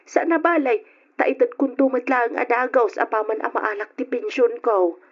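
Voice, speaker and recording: An actress with an Ilocano accent playing a needy, coaxing older mother with a husky voice in an old radio drama. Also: a little high, moderate pace, natural voice. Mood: pleading, coaxing. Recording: dull, lo-fi old radio recording.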